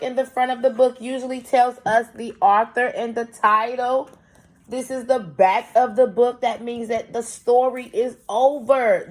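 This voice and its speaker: A woman teaching young children through a read-aloud, explaining and prompting with questions in an instructive way. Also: fairly high pitch.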